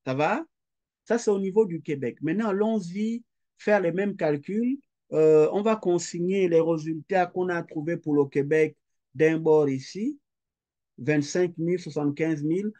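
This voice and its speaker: A man teaches a recorded lesson at an unhurried pace. His voice sits a little high but sounds mellow and soft-edged. He reads every number out slowly and says it again as he writes it down. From Quebec. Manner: slow, methodical, repeats figures aloud as he writes. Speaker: lecturer. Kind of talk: recorded tax lecture working through calculations.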